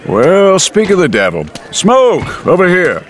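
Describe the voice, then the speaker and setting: A genial saloon keeper, as a narrator puts him on, talking with lively swings in pitch. He sounds welcoming and easy. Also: a little slow, background noise, exaggerated voice. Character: friendly French-born saloon owner, genial.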